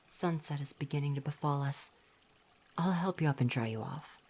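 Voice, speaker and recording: A man playing a gentle, coy and flirty forest spirit in an ASMR roleplay, speaking softly, at times breathily, in a formal, reassuring way. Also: a little high, normal speed. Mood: gentle, helpful.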